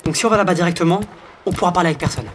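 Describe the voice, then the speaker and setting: Man playing a quick-talking, pragmatic insect adventurer. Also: high-pitched, slight background noise, lightly acted. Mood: measured, firm. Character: pragmatic, wry insect adventurer with hidden identity. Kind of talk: audio drama acting.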